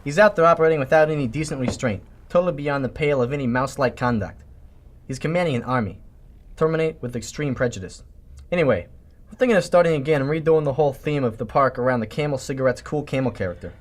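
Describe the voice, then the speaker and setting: A man playing a stern executive giving a grave, measured briefing in a radio drama parody. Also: mid-range voice, lively intonation, lightly acted. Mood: firm. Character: stern executive briefing a captain on a secret mission.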